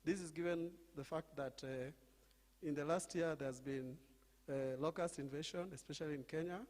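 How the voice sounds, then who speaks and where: A man in the audience asks the panel a question from the floor, speaking hesitantly with many pauses and fillers. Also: on the high side, steady tone, a little mellow. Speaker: audience member asking a question. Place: conference hall. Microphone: handheld mic. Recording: reverberant hall.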